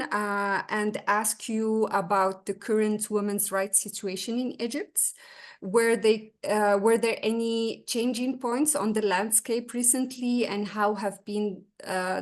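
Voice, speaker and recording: A woman from Morocco moderates an online discussion in a formal, prepared manner. Her pitch sits in a middle range. She is speaking over a video call. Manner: formal, reads prepared introduction. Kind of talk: webinar moderation.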